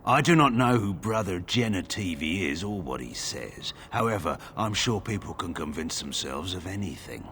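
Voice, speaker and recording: A man's voice: sits low, big pitch swings, moderate pace, few pauses, a bit bright, a little hiss or hum. A man playing a terse, suspicious village guard in a video game, speaking in a guarded, formal way. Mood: guarded, firm. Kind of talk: video game dialogue acting.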